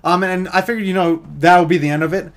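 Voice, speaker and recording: A young American man with a high voice for a male speaker, chatting quickly and informally. He rambles through a casual story in a jokey, conversational way. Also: steady tone. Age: young adult. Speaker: vlogger telling a story.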